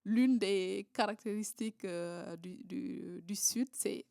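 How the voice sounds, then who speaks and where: A woman's voice: mid-range voice, takes it slowly, vocal fry, dark tone, no echo. Guest: woman from southern senegal, hesitant, talks with lots of fillers and restarts, dry close sound. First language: Creole, Diola and Wolof. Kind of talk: casual interview conversation.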